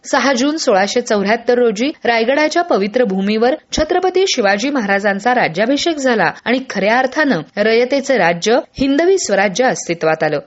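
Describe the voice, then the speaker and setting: Woman, radio news presenter, highly varied intonation, formal scripted delivery, dull lo-fi broadcast sound. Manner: formal, measured news reading. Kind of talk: scripted newsreel narration.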